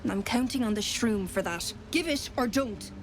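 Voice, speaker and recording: A woman's voice: a little high, moderate pace, noisy background, lightly acted. A woman playing a weary, hard-bitten trader in a video game, speaking bluntly and plainly. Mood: blunt, guarded.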